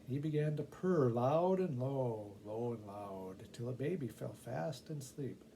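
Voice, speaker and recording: A man reading a children's story aloud with an expressive storyteller's emphasis. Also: mid-range voice, measured pace, gravelly, a little hiss or hum. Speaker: volunteer story reader.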